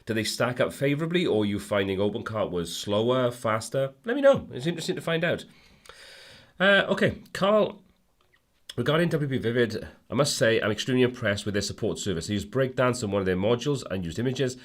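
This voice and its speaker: A man with a cold, a bit hoarse, hosting a casual livestream and rambling through viewer comments with lots of fillers. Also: medium pitch, expressive, a little fast. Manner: rambling, friendly, many fillers and restarts. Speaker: livestream host.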